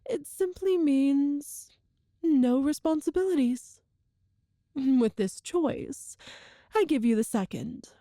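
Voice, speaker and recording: A cruel and seductive empress, acted by a woman in an audio roleplay, speaks slowly in a soft, mellow, fairly high voice. Her delivery is regal and teasing, with formal, calculated phrasing, recorded close and dry. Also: dry recording, heavily acted. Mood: silky, persuasive. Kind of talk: scripted audio roleplay, ASMR-style monologue to the listener.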